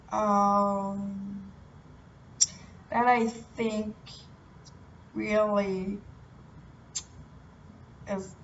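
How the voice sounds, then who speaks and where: A young woman from Cameroon talking about her life in a personal motivational vlog, halting and full of restarts. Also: mid-range voice, fairly bright tone. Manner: hesitant, halting, many restarts. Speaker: vlogger. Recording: distant, muffled, light noise.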